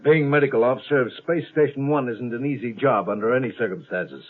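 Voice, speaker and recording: An actor playing a stern, exasperated military space doctor, scolding and sarcastic in a 1950s radio drama. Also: male voice, medium pitch, quick pace, natural voice. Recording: tinny band-limited 1950s radio recording.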